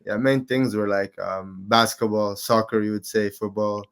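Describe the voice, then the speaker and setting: A man in his twenties from Qatar, a guest in a casual interview, talking in a relaxed, rambling way with lots of fillers. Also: fairly low pitch, normal speed, clear, resonant tone. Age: 20s. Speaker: guest, amateur MMA fighter.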